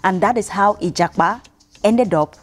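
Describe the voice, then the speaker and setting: A woman's voice: medium pitch, moderate pace. Young woman telling a bedtime story over music. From Nigeria. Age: young adult. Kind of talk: scripted bedtime storytelling for children. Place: indoor room, seated by a piano.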